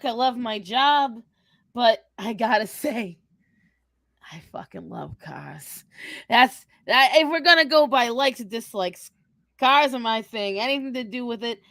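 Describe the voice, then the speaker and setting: A woman's voice: fairly high pitch, fairly bright tone, dry recording, clean studio sound, exaggerated voice. A woman voicing a brash, foul-mouthed, confrontational young woman with bossy sass, bantering in character in a live Q&A. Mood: gushing, competitive. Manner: confrontational, sassy, swearing, slangy.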